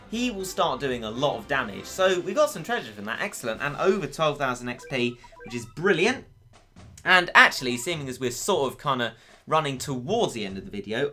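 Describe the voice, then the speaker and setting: A man talks through a mobile game with a chatty, self-mocking humor and a slightly hoarse voice. His tone is bright and his pitch leaps around animatedly, and faint music sits behind him. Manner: chatty, upbeat, self-deprecating jokes. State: voice going hoarse. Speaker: gaming YouTuber. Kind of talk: let's play commentary.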